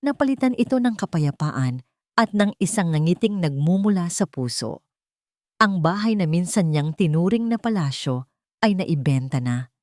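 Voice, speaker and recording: Filipina lady narrating an audiobook drama, low voice, really expressive, dry close sound. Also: moderate pace, no room sound. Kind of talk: scripted dramatic story narration.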